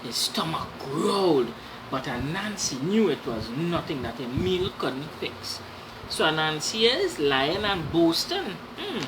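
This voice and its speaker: Female storyteller for read-aloud tale: lowish, very varied pitch, asides to listeners, noisy recording. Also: very animated, a little slow. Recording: clearly noisy background.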